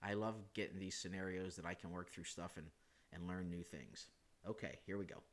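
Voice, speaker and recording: A man, hoarse from a chest cold, hosting a screen-recorded flight simulator how-to, talking it through casually with self-corrections and filler sounds. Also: deep voice, fairly quick. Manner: relaxed, thinking aloud with frequent uh and self-corrections. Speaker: flight sim hobbyist host.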